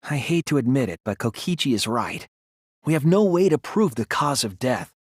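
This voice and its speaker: A man voicing an earnest young male detective in a video game, thoughtful and anguished but resolute, with deliberate, analytical phrasing. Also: medium pitch, sing-song, no echo, clean studio sound. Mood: pained, gentle. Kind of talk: dramatic video game voice acting, deductive explanation.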